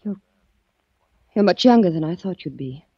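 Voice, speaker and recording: A woman's voice: on the low side, expressive, moderate pace, warm tone, slightly rough, no echo. An actress playing a vengeful young woman holding a man at gunpoint, in clipped, threatening 1940s radio acting. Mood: cold threatening. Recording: dull old radio broadcast.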